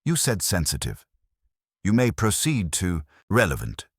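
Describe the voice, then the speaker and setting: Guy doing a pedantic imperious male detective for an audiobook, really low voice, formal, dry sound. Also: wildly swooping pitch, a little slow, slightly rough, no echo, heavily acted. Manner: terse, formal, pompous. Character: imperious, pedantic, heavyset middle-aged male genius detective.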